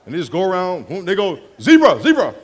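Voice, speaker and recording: Animated high-voiced male preacher. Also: brisk, a little hiss or hum. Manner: animated storyteller, acts out voices, laughs often. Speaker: pastor. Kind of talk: sermon with comic stories.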